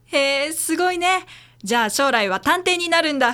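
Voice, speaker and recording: An actress plays a friendly mother on a food delivery in a radio drama. Her voice is high and bright. She speaks in a kindly, encouraging way. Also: animated, fairly quick. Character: friendly mother working as bento delivery woman. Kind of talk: audio drama acting.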